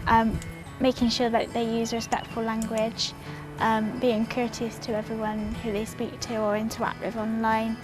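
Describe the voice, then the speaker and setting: A woman's voice: fairly high pitch, completely flat delivery, moderate pace. Hesitant woman over background music. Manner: hesitant, with fillers and restarts. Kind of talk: recorded testimony.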